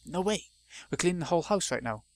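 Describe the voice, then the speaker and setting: A man's voice: fairly high pitch, expressive, fast, pitched above the performer's own voice. A man voicing a bullying, abusive uncle who sneers and turns falsely sweet. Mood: belligerent.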